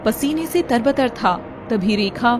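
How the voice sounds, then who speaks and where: A woman's voice: fairly high pitch, animated, slow pace, background noise. A woman narrating a scripted story in a dramatic storytelling style.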